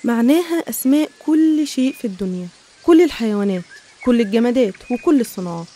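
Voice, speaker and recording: A woman's voice: on the high side, animated, quick pace, mellow, slight background noise. A woman with an Egyptian Arabic accent narrating religious stories in a conversational, explanatory way. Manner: explanatory, storytelling.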